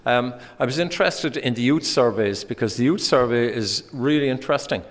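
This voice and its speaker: A man from Ireland with an Irish accent, giving a conference presentation with many pauses and restarts. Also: mid-range voice. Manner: hesitant, many ums and restarts. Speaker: conference speaker. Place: conference hall. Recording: hall reverb.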